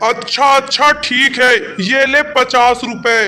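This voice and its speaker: Man voicing a high-pitched haughty crow boss. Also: level tone, slow, sharp tone, exaggerated voice. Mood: dismissive. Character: rich, stingy, bullying male crow boss and shopkeeper. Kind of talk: Hindi children's cartoon dialogue.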